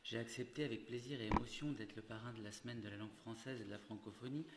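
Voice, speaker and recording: A man who grew up in Syria, a native Arabic speaker, reading a measured, earnest formal speech as guest of honour. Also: mid-range voice, fairly even intonation. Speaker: guest speaker, comics author. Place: large ceremonial hall. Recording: echoey hall with faint noise.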